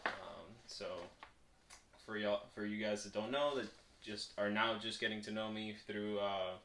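A man's voice: on the low side, fairly even intonation, measured pace, fairly bright tone. A man in his twenties from Puerto Rico, chatting casually to his viewers as a vlogger. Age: 20s. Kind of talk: casual vlog chat and product review.

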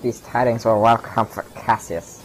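A man's voice: medium pitch, flat delivery, moderate pace, lightly acted, poor audio. A man playing a loyal, grieving Roman officer in a declamatory radio Shakespeare drama. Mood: dutiful. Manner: declamatory verse delivery. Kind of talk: old-time radio Shakespeare acting.

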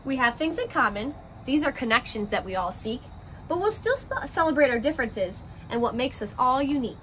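A woman's voice: sits high, big pitch swings, brisk, a bit bright, a little hiss or hum. A woman in her twenties, a first grade teacher, talking and reading aloud expressively to young children, recorded outdoors on a porch. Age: 20s. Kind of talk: children's read-aloud and direct address to young pupils. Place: outdoors on a porch by glass doors.

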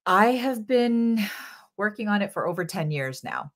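A woman's voice: medium pitch, very animated, normal speed. A woman in her forties from Sri Lanka, a guest filmmaker answering interview questions earnestly in bursts with many fillers, over a video call from a home office.

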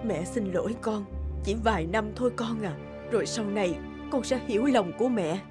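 A woman's voice: mid-range voice, normal speed, natural voice. An actress playing an anguished, pleading mother in a cartoon, speaking emotionally. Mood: worried, pleading. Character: anguished, pleading mother of a hot-tempered son. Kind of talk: cartoon dubbing. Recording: clear music under the voice.

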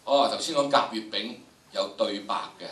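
Older male drama instructor, Hong Kong Cantonese accent, bright forceful delivery, heard in an echoey lecture hall. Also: lowish voice, moderate pace, recorded from a distance. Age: older, about 70s. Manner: theatrical, acting out examples. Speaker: drama teacher, workshop instructor. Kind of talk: animated lecture to students. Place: large lecture hall with student audience. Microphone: handheld microphone through room speakers, recorded from the audience.

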